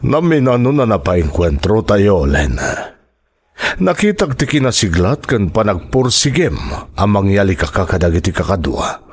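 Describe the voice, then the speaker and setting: Husky, low Ilocano rebel leader. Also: male voice, very animated, normal speed. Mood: smooth reassurance. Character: predatory male rebel leader, falsely protective, persuasive, then menacing. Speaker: radio drama actor. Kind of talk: Filipino radio drama acting.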